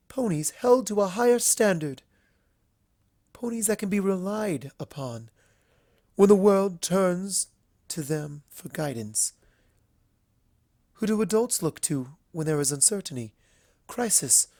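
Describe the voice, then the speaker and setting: A woman's voice: medium pitch, dark tone, dry recording, studio quality, pitched above the performer's own voice. A woman voicing a refined female unicorn pony in a storybook reading, earnest and solemn, speaking in a formal, eloquent, emotive way.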